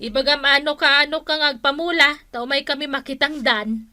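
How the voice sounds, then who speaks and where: An actress playing a fussing, sharp-tongued village woman in a radio drama. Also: high voice, steady tone, normal speed, dry recording, studio quality. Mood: chatty.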